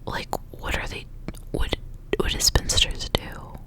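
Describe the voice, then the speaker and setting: A young woman whispering in a breathy, intimate ASMR style, softly reading and chatting. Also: takes it slowly. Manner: soft, intimate narration with casual asides. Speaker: ASMR reader.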